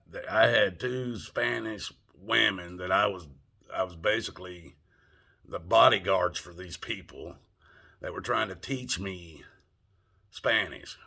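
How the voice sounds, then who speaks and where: Older Southern US man, vlogger; low, husky, slow, folksy and salty delivery with a tense, bright edge. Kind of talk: casual monologue to camera.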